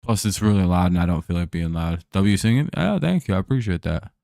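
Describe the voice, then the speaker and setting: A man's voice: sits low, lively intonation, brisk, creaky, warm tone, no echo, clean studio sound. Laid-back man calling a stream game. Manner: laid-back, jokey, chats with viewers between plays. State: voice worn from talking. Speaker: live stream host. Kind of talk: casual play-by-play and chat.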